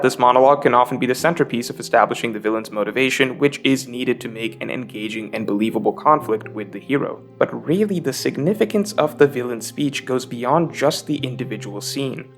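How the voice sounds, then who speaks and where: A man's voice: mid-range voice, creaky, a bit bright. Articulate man narrating a video essay over music. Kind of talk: scripted narration, film analysis video essay.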